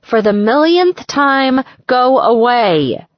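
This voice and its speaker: A woman's voice: high-pitched, very animated, slow, dry recording, muffled recording, pitched above the performer's own voice, exaggerated voice. A woman voicing a distraught young bride in an audiobook, blunt and whiny, with emphatic, exasperated complaints. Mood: irritated.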